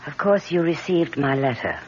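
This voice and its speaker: A solicitous, coaxing fiancée with very lively intonation. She speaks theatrically, in a dull old radio drama with faint noise. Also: low voice, normal speed. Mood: affectionate, coaxing. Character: loving, insistent fiancée, anxious and solicitous.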